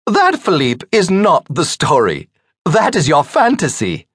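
A man's voice: fairly high pitch, huge pitch swings, a little slow, fairly bright tone, slightly rough, no echo, studio quality, pitched above the performer's own voice, full character voice. A man voicing a gossipy, bold society wife with an arch, emphatic, teasing manner in an audiobook. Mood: scolding. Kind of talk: audiobook character dialogue.

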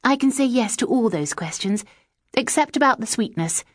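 A woman's voice: a little high, animated, moderate pace, no echo, studio quality, voice pushed up. A woman playing a spirited young woman. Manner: eager, spirited. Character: lively, proud, poor young woman.

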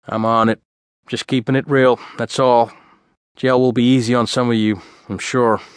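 A man reads as a vain pretty boy in an audiobook story. He is flippant and cynical, speaking at a medium pitch. The recording is dry. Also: level tone, moderate pace, clean tone, no room sound, lightly acted.

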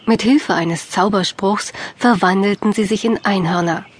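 A woman narrating a scripted children's audiobook in a measured, clearly phrased storytelling manner. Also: medium pitch.

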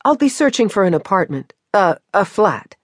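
A reader voicing an eager young American woman from the Midwest, speaking brightly and earnestly. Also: medium pitch, big pitch swings, normal speed, no echo, studio quality, voice pushed up.

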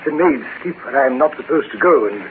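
An actor playing a flustered, deferential palace servant in 1930s radio drama style, hesitant and apologetic. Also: male voice, mid-range voice, animated, fast talker, natural voice. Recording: scratchy, tinny 1930s radio recording with hiss.

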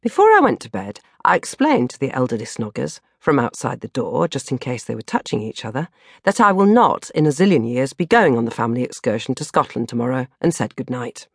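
A woman's voice: very deep voice, big pitch swings, brisk, slightly rough, dry recording, studio quality. A woman narrating a comic first-person diary as an audiobook, with a dramatic, exasperated delivery. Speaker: audiobook narrator reading her own novel.

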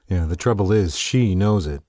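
A man playing a genial, wry gentleman who runs a country house, in an audio drama. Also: low voice, expressive, unhurried, no echo. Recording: music behind the voice.

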